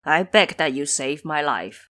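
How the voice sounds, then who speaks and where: A woman's voice: low voice, animated, unhurried, fairly bright tone, pitched below the performer's own voice. A woman voicing a humble, dutiful male monk in an audio drama, earnest and deferential. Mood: pleading.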